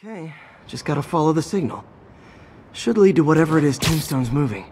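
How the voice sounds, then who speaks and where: A man's voice: a little high, lively intonation, fairly quick, darkish tone, slight background noise, natural voice. A man playing a young adult American superhero in a video game, wisecracking and earnest, often talking to himself. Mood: musing. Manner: quippy, self-talking. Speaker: game protagonist.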